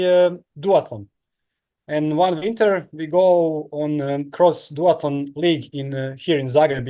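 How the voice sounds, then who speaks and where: Croatian guy in his 30s, kinda high voice, halting english with lots of uhs. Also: warm tone. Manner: halting non-native English with many fillers. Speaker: guest, professional triathlete. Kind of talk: casual interview answers.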